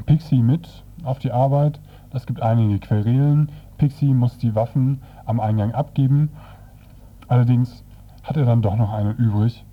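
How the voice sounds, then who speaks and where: A man presenting on radio, retelling a comic's story in a dry, hesitant, semi-improvised way with a husky voice. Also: medium pitch. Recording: dull, lo-fi radio recording.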